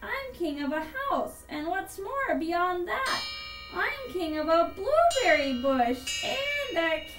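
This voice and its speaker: A boastful storybook turtle king, acted out by a woman, bellowing imperious rhymes. The voice is very high and deliberately drawn out, heard in a roomy echo with loud music behind. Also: sing-song, recorded from a distance, heavily acted. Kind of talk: rhymed storybook read-aloud.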